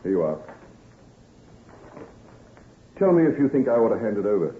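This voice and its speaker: A man playing a troubled, weary gentleman doctor in an old-time radio drama, guarded and subdued. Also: on the low side, big pitch swings, racing, narrow, tinny audio.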